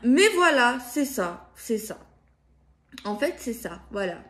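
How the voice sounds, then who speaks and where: Slow-paced, hesitant woman. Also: a little high, sing-song, fairly bright tone. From France. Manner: hesitant, circling, repeats and rephrases herself. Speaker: card reader giving guidance to viewers. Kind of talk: improvised spiritual guidance, casual chat to viewers.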